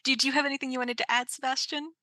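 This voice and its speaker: A woman moderates a casual Q&A panel in a bright, clear-cut tone. Her voice sounds close and dry, with no room around it. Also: sits high, steady tone, racing, dry recording. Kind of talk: casual hosted Q&A.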